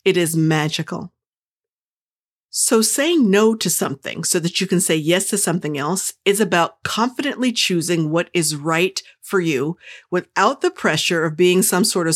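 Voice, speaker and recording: A woman hosting a motivational podcast, talking to the listener in an upbeat, enthusiastic, playful pep-talk manner. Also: fairly low pitch. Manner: direct, playful, speaks straight to the listener. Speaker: podcast host.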